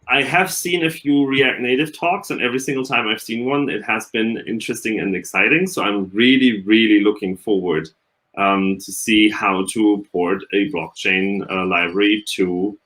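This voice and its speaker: A man's voice: fairly low pitch, normal speed, sharp tone. A man hosting an online event, chatting casually and introducing the next speaker in an upbeat, rambling way. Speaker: event host.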